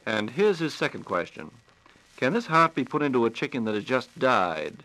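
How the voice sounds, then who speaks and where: A male host from 1940s radio, heard on a vintage broadcast recording. His mid-pitched voice is bright and crisp-edged. He addresses his listeners and co-presenter with polished, courteous formality. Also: sing-song, moderate pace, rough recording. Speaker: radio program host and announcer. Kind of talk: 1940s radio announcing and interviewing.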